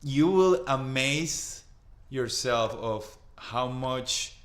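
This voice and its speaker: A man from Colombia, a podcast guest and father, speaking earnestly and haltingly in casual conversation. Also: medium pitch, expressive, roomy sound. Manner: earnest, halting, repeats words.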